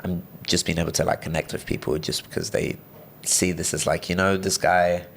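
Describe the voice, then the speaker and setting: A man's voice: deep voice, level tone, a little fast, gravelly, slight background noise. A young man from Brazil, with a British-tinged accent, chatting casually as a podcast guest, rambling with lots of fillers and restarts. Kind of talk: casual interview chat.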